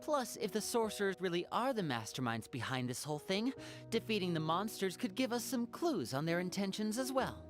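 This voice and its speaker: A woman's voice: mid-range voice, sing-song, a little fast, natural voice. An actress playing a cool, level-headed young woman investigator with a dry, thoughtful manner. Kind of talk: video game voice acting. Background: game music.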